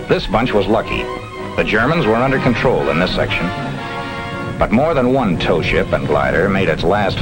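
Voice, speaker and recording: A man's voice: fairly low pitch, close to an everyday voice. A man narrating as a young American glider pilot, folksy and understated, in a scripted first-person account on a 1940s film soundtrack. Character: young American glider pilot recalling D-Day, plain-spoken, proud. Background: loud music, aircraft and artillery.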